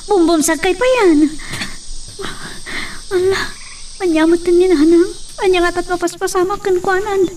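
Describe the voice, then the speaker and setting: Woman acting a very high-voiced, caring daughter. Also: flat delivery, normal speed. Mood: concerned. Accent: Ilocano. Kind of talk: Ilocano radio drama acting. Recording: distant mic, faint noise behind.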